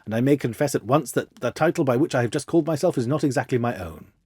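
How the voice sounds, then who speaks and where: Male narrator as storybook nobleman, German accent, deep harsh tone, imperious, formal, easily agitated, dry close recording. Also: fast, darkish tone, breathy edge, no echo, natural voice. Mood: grave, formal. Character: huge, haughty, agitated Bohemian king, harsh-voiced nobleman.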